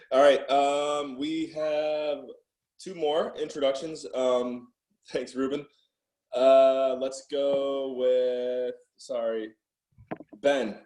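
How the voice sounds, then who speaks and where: A man's voice: medium pitch, level tone, drawn-out words, a little mellow. Jokey male host in an echoey room. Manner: jokey, upbeat emcee. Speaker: webinar host. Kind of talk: hosting a webinar. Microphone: video call.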